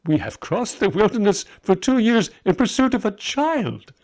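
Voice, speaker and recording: A man's voice: high-pitched, big pitch swings, moderate pace, pitched above the performer's own voice. A man as Balthazar, a solemn aging magus. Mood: excited. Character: fatalistic aging male magus, devoted and exclamatory.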